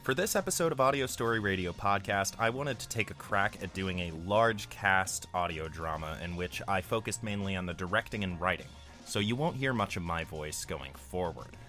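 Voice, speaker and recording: Low, bright-voiced male podcast host. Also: lively intonation, normal speed, faint music behind. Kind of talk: mock-solemn scripted podcast introduction.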